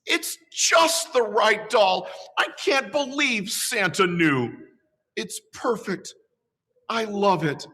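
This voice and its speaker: A man doing a high slow little girl. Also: animated, pushed and bright, big-room sound, heavily acted. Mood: delighted.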